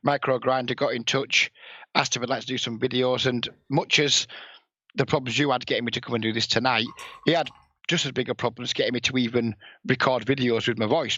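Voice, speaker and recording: A man with a northern English accent and a raspy voice, a blunt, heavily swearing guest in a casual podcast interview who sniffs and coughs. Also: medium pitch, fairly bright tone, no echo. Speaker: poker player and coach, guest. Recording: dull lo-fi, dry sound.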